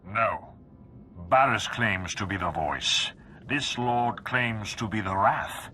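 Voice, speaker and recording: An actor playing a grave, calculating dark councillor in a video game, speaking in a measured, deliberate, aloof way. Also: male voice, lowish voice. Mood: aloof, wary. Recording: music under the voice.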